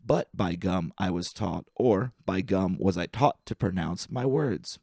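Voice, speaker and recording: A man reading humorous poetry aloud as an amateur, with a deliberate, wry delivery and careful enunciation. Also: sits low, lively intonation, creaky, darkish tone, dry recording, studio quality.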